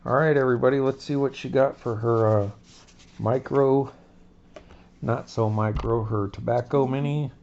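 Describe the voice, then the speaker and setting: A man's voice: mid-range voice, fairly even intonation, normal speed, creaky, a little mellow, a little hiss or hum. A chatty man hosting a card-opening video, talking to viewers with a stuffy nose. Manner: chatty, addresses viewers as folks. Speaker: card-opening vlog host. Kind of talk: casual chat. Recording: dull lo-fi recording.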